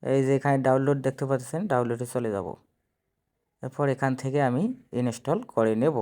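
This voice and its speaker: A man's voice: mid-range voice, fairly even intonation, a little fast, dark tone. A man giving a casual step-by-step phone app tutorial, instructing viewers directly and repeating himself. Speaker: tutorial presenter.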